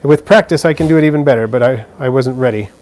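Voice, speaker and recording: Relaxed man hosting a pet live stream. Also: mid-range voice, quick pace. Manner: relaxed, chatty, with fillers and restarts. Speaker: live stream host answering viewer questions. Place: indoors beside a frog terrarium.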